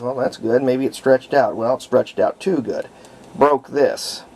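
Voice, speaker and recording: A man narrating his repair of an old radio in a halting, casual way, thinking aloud as he works. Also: mid-range voice, gravelly, darkish tone. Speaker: radio restorer.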